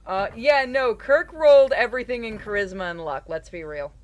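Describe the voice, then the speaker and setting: A man's voice: high voice, normal speed. A man streaming a game with casual commentary, blunt, sweary and opinionated. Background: game music.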